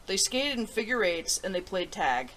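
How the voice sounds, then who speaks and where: A woman hosting a children's story show, reading aloud in an animated, expressive way. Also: medium pitch, moderate pace, rough recording.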